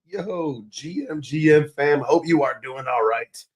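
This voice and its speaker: A man hosting a casual crypto livestream. His voice is raspy and hoarse, and he talks in upbeat hype with lots of filler words. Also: fairly high pitch, a little slow. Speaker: livestream host.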